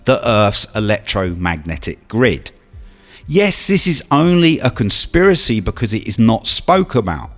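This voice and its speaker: A man giving an earnest, portentous scripted narration in the style of a documentary voice-over. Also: medium pitch. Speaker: video narrator and presenter. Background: music.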